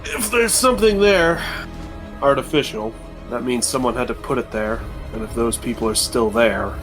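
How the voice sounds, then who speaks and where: A man's voice: medium pitch, animated, normal speed. A man taking part in a tabletop game, talking through options with the other players. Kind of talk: in-game discussion. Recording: music playing behind the voice. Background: music.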